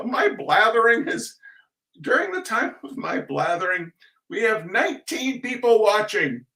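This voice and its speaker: Rambling, higher-voiced man in an echoey room. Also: bright, forceful tone. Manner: rambling, self-deprecating, fretful and digressive. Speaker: host of a livestreamed reading night. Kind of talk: casual rambling livestream chat. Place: indoor room at a computer. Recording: roomy echo, distant microphone.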